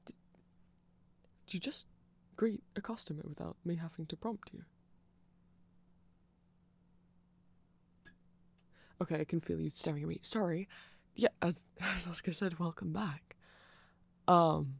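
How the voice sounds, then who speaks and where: A performer as a creaky-voiced, flustered nerdy vampire. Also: mid-range voice, normal speed. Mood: flustered, welcoming. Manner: hesitant, flustered, stammering, apologetic. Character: nerdy, awkward, polite young-sounding vampire shopkeeper. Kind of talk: ASMR roleplay, rambling casual chat.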